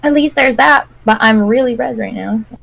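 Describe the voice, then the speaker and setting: A woman's voice: fairly high pitch, moderate pace, creaky, fairly bright tone. A woman co-hosting a podcast, telling everyday anecdotes in casual conversation. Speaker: podcast co-host. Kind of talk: casual live-stream chat with anecdotes.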